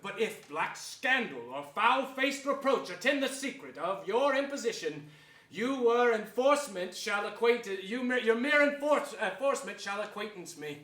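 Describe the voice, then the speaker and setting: A man's voice: high voice, lively intonation, sharp tone. An actor playing an ambitious, scheming usurper king who feigns piety, in declamatory Shakespearean stage style. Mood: pious false reluctance. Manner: theatrical, slow, emphatic. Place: theatre stage before an audience. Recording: echoey theatre stage.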